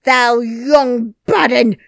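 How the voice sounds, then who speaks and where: A woman voicing an angry old male gardener, scolding loudly in broad dialect, in an audiobook reading. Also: lowish voice, very animated, moderate pace, no echo, clean studio sound. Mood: outraged. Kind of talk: audiobook character voice.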